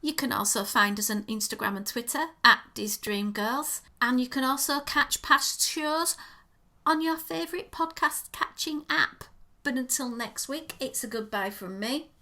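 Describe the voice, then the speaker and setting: A woman co-hosting a casual chat podcast, her fairly high voice bright and gravelly with croakiness. She gushes with enthusiasm over small details. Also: measured pace. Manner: gushing, enthusiastic about details. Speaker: podcast co-host.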